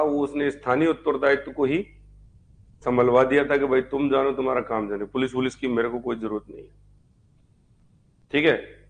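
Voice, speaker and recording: A man's voice: medium pitch, slight background noise. A man teaching an online history class conversationally, repeating key points and posing rhetorical questions as he goes. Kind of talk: live online exam-prep lecture.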